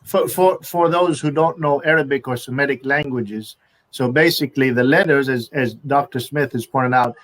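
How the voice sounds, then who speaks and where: Male interviewer of mid pitch, agreeable and affirming, asks for clarity. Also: moderate pace, a bit bright, low-quality recording. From half Iraqi, half American. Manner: attentive, frequently affirming, asks clarifying questions. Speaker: host. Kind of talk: interview questioning.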